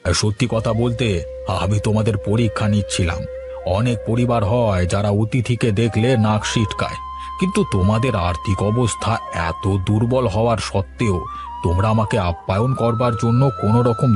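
A man's voice: fairly low pitch, fairly quick, natural voice. A man voicing a benevolent, bossy older family guest in a cartoon, avuncular and authoritative. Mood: appreciative. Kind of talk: cartoon voice acting. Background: music.

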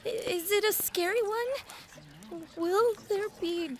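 Very high-pitched bossy little kid. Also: female voice, slow, a little hiss or hum. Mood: curious. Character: bossy, excitable young child eager for a bedtime story. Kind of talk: fantasy audio drama acting. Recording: music under the voice.

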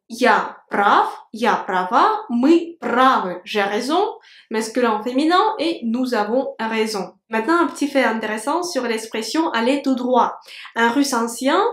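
Young woman with a Russian accent, high voice and unhurried pace, teaches a language lesson to camera. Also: reverberant. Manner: clear, slow enunciation of words with gestures. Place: indoor room with a plant. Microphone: camera at medium framing.

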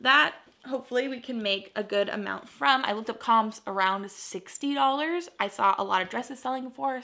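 A young American woman vlogging from her living room in a casual, chatty way. Her voice is bright and forward, with a noticeable creaky fry. She sounds upbeat and gushes over what she shows. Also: fairly high pitch. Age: young adult. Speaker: vlogger, clothing reseller. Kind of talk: casual chatty haul video to camera.

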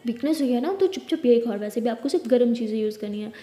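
A woman's voice: on the high side, fast, dark tone, lightly acted. A woman with an Indian accent voicing a feisty, bossy young woman in a story, sassy and scolding but caring. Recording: echoey room with long reverb.

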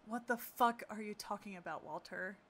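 Casting: actress as an urgent, blunt-spoken woman with a mid-pitched voice, horror comic dub. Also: moderate pace, fairly bright tone, slight background noise, natural voice. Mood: accusing. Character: blunt, foul-mouthed, alarmed woman among friends. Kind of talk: amateur comic dub acting.

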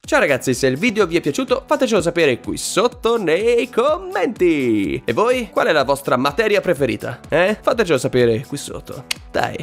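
A man tells a scripted comic story as narrator. His delivery is animated and sarcastic, with swearing thrown in and light music behind him. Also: on the high side, moderate pace, few pauses. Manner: sarcastic, profane.

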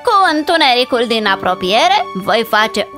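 A woman voicing eager, emphatic female adventurers in an animated fairy tale. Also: fairly high pitch, faint music behind. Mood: earnest.

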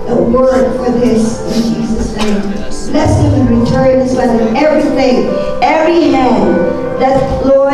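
Woman, middle-aged, high voice, fervent exclamatory prayer leader, distant mic in a very reverberant hall. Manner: devout, exclamatory, repeating phrases. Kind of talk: fervent spoken church prayer. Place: large hard-walled community hall at a church gathering. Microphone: handheld microphone through a PA, picked up by a distant camera. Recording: very echoey hall, PA heard from afar. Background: keyboard music.

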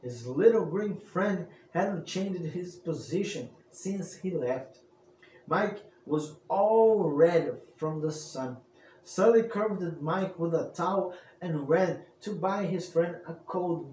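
A man's voice: a little high, slow pace, a little mellow. A man in his thirties narrating a scripted bedtime story as a storyteller, with a theatrical, old-fashioned bardic manner. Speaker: storyteller, channel host. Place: indoor room with shelves at home. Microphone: large microphone on boom arm. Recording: roomy, slightly distant, faint noise.

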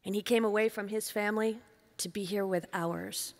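A woman gives a heartfelt introduction at a podium microphone, her gravelly, creaky voice carrying through a large hall. A long reverb trails each of her measured phrases. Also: medium pitch, fairly even intonation, a little mellow. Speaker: event host introducing the speaker. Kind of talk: formal introduction speech. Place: large conference hall stage.

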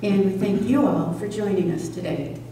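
An older woman at a podium in a community hall, reading a prepared introduction in a measured, careful way. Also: mid-range voice, warm tone. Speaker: event organizer introducing a speaker. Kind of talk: prepared introduction read from notes. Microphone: stand microphone at podium, picked up distantly. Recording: echoey hall from far off, faint noise.

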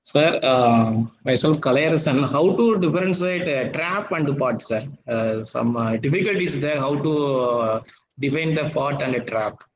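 A man's voice: mid-range voice, a little slow. A man attending an online lecture, asking a question hesitantly and politely, addressing the speaker as sir. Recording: dull, lo-fi call audio.

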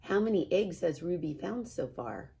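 A middle-aged woman, a kindergarten teacher, reading a picture book and chatting to children in an expressive style. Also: lowish voice, moderate pace, gravelly. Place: small classroom, indoors.

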